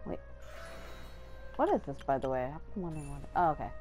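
A woman's voice: low-pitched, sing-song, quick pace, dark tone. A woman streaming a game with casual, offhand chat, muttering to herself as she plays. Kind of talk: casual solo gameplay chat. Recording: game music behind the voice.

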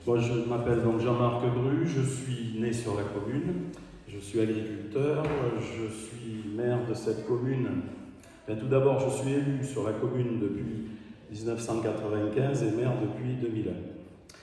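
A man's voice: medium pitch, steady tone, unhurried, warm tone. A man speaking as mayor at a welcome ceremony, hesitant, with many fillers and restarts. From France. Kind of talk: welcome speech. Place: town hall ceremony room. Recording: echoey hall from far off.

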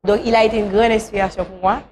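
A woman's voice: mid-range voice, fairly even intonation, quick pace, a little mellow. Woman in her thirties, interview guest. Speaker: talk show guest. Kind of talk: conversational interview. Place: small talk show studio, on a couch.

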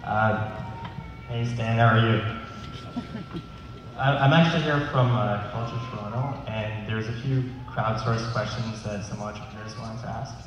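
A man asking an unscripted question from the floor at a panel, haltingly, searching for words. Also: fairly low pitch, fairly even intonation, highs cut off. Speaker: audience questioner at convention panel. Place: large convention hall. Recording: echoey hall from far off, noisy.

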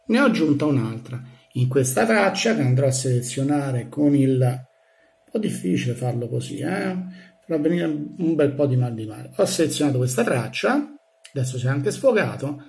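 A man giving an informal hands-on software tutorial, thinking aloud as he narrates each step. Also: fairly high pitch. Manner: thinks aloud, narrating each click with small verbal sound effects. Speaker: tutorial presenter. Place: indoors in a room. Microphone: handheld phone filming a laptop screen.

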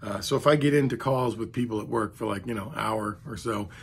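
A hoarse man talking in a rambling, chatty way to the camera from a home music room, with a raspy voice from vocal cord strain. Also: fairly low pitch, brisk. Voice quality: hoarse, gravelly. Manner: rambling, chatty, self-deprecating. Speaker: trumpet hobbyist vlogger. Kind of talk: casual talk to camera. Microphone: studio mic beside camera.

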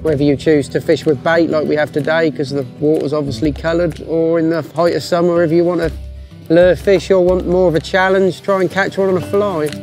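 A man's voice: on the high side, fairly even intonation, brisk, darkish tone. A young man, talking informally and plainly as a sea angler in a documentary-style piece. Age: young adult. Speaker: sea angler and crab fisherman. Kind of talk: informal documentary-style talk to camera about fishing. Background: music.

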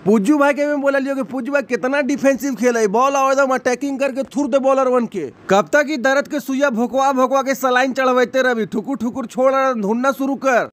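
A man's voice: very high voice, fairly quick, few pauses, no room sound. A man dubbing comic lines over cricket press-conference clips, rambling and joking in a with a close mic. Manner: joking, rambling dialect banter. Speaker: comedy dubber.

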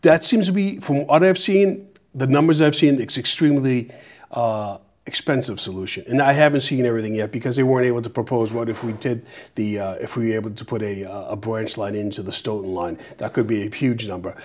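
Middle-aged guy from peru on a lapel mic, earnest, keeps restarting sentences, average pitch. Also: fairly quick, darkish tone. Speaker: mayoral candidate. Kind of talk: studio interview answers. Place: small TV studio.

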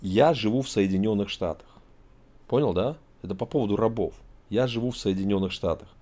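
A middle-aged man from Tajikistan argues on a video call, pressing his points in an emphatic, lecturing way. His voice sits a little low and sounds dark and mellow rather than bright. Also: fairly quick. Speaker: host. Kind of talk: heated video-chat argument. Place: indoor room, seated.

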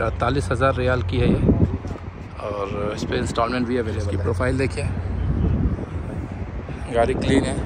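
Man reviewing cars over outdoor noise. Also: mid-range voice. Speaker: car vlogger. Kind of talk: casual walk-around car review with English words mixed in. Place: outdoor used-car parking lot at night. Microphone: handheld phone, behind the camera. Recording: noisy outdoor handheld phone recording.

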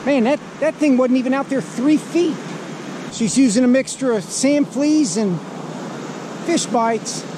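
A man's voice: very high voice, unhurried. A man giving casual running commentary as a fishing vlogger, outdoors on a beach. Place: outdoors on an open ocean beach. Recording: loud wind and surf noise outdoors. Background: waves, surf and wind.

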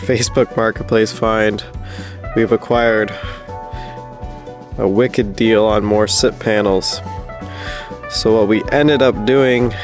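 Male DIY vlog host, plain-spoken and informal, mid-pitched with flat, steady delivery, explaining practical steps over clear background music. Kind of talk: casual explanatory walkthrough of construction work.

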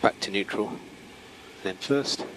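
A man working as a race engineer gives calm, methodical, terse instructions over team radio, repeating key calls. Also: lowish voice, slow pace. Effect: team radio transmission. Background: vehicle hum and noise.

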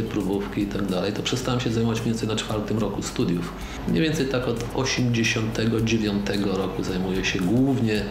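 A middle-aged man, a professor, speaking thoughtfully in an office interview and seminar, pausing and correcting himself. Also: lowish voice. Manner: thoughtful, with pauses and self-corrections. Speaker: scientist and professor.

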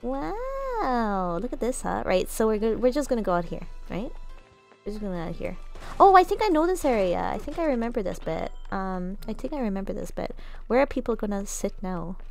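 A young Irish woman from Cork talks casually on a game stream, her accent softened. She rambles, repeats phrases and laughs easily, and her pitch sits in a middle range. Quiet game music plays under her. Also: sing-song, brisk, creaky. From Ireland, Cork. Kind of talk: casual gaming stream chat. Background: faint game music.

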